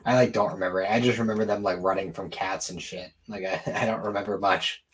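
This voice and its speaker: A man's voice: on the low side, steady tone, fast. A man co-hosting a podcast, talking casually and offhandedly in an informal conversational way. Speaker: podcast co-host. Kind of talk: casual chat about anime and games.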